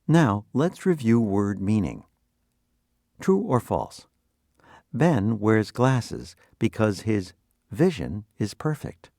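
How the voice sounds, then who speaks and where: A man's voice: on the low side, big pitch swings, slow, mellow, dry recording, clean studio sound. A man presenting a scripted vocabulary review, asking and explaining in an instructional, quizzing manner. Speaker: quiz presenter.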